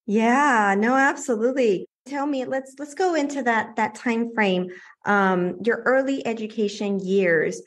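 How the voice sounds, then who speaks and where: A woman hosts a podcast with a fairly high voice. The sound is close and dry, with very little room on it. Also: a little slow, no echo. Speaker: podcast host. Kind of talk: scripted introduction and interview.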